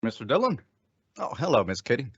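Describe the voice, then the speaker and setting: A man's voice: mid-range voice, big pitch swings, a little fast, slightly rough, no echo. A man acting a loyal, worried deputy sidekick with folksy rustic speech in an old-time radio western. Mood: earnest. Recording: dull, dry lo-fi audio.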